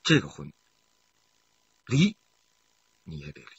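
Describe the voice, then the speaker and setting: A man's voice: very deep voice, wildly swooping pitch, slow pace, creaky, husky, no echo, muffled recording, exaggerated voice. An actor playing a middle-aged, money-hungry husband in a radio crime drama, who repeats his words and swings between coaxing and bullying. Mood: threatening, agitated.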